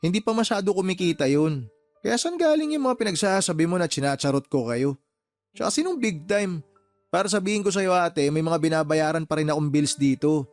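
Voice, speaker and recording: Man acting a higher-voiced, exasperated Filipino younger brother. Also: expressive, brisk, no echo, faint music behind. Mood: defensive. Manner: defensive, exasperated. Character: weary, exasperated younger brother working in the city. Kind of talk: Tagalog radio-drama phone dialogue.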